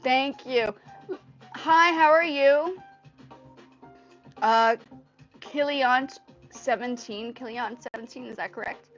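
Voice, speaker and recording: A woman from Indonesia, a native Indonesian speaker, hosting a casual livestream in a rambling, animated way, often repeating her phrases. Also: sits high, slow, long pauses, soft background music. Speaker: livestream host. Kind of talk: casual livestream chat with viewers. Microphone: earpiece mic on a phone. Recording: dull lo-fi livestream audio through earpiece mic.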